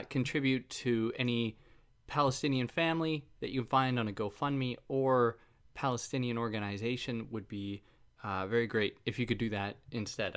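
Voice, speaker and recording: A man's voice: medium pitch, fairly bright tone. A man hosting a philosophy podcast, explaining ideas in a casual, chatty way, joking at himself and wandering off on side points. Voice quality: soothing voice. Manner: chatty, self-deprecating, goes off on tangents.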